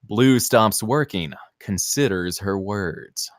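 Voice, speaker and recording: A man's voice: lowish voice, slow pace, no room sound, studio quality. A man narrating the stage directions of a screenplay at a table read.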